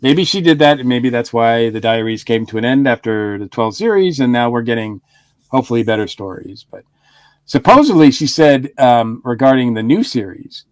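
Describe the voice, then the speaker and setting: A man's voice: medium pitch, lively intonation, normal speed, no room sound. Chatty man with a dry voice. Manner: rambling, with frequent restarts and chuckles. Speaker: podcast co-host. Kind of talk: casual review chat.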